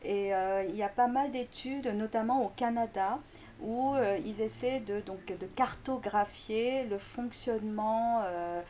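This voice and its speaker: A woman's voice: fairly high pitch, a little slow, warm tone. Hesitant woman explaining linguistics, faint noise. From Greece. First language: Korean. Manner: hesitant, many fillers and restarts. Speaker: linguistics lecturer, guest. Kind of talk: explanatory interview answers.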